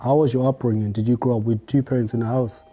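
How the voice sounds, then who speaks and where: A male host with a very dark, mellow voice keeps the chat loose. He asks short questions and drops quick affirmations between them. The whole exchange feels relaxed and conversational. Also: mid-range voice. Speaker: interview host. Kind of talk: casual interview.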